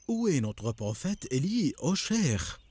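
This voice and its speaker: Reverent cartoon follower, mellow mid-pitched voice, slow, polite, respectful, dry recording. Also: male voice, sing-song, husky, dry recording, full character voice. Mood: curious. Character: devout, respectful listener eager for grandfather's stories. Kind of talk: cartoon dubbing.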